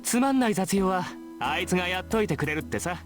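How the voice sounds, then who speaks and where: A smug schoolboy from a visual novel. He talks quickly and slightly high, bossing others around with flippant condescension. Clear music plays behind him. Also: animated. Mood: breezy, smug. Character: smug, bossy teenage schoolboy archery club member. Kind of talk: Japanese visual novel voice acting. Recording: background music under voice.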